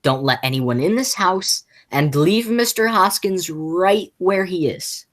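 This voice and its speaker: A teenage girl playing a flustered, exasperated man in a school radio play, stammering and halting as she speaks. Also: lowish voice, expressive. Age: teens. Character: flustered, exasperated male theater critic and nephew.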